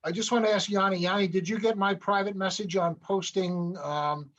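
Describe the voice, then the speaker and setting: A man's voice: high voice, steady tone, moderate pace, a bit bright. A man explaining things informally to a group during an online meeting, heard over lo-fi call audio.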